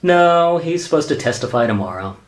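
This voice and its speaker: A man's voice: a little high, big pitch swings, brisk. A flustered, earnest government staffer played by a man in a radio sitcom. His voice leaps up and down as he protests and explains, exasperated and eager to make things add up. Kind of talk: sitcom radio play acting.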